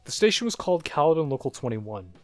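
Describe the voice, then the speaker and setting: A man voicing a haunted narrator who looks back on disturbing childhood memories in a first-person horror story, halting and reflective, like a confession. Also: on the high side, big pitch swings, dry recording. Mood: nostalgic. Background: music.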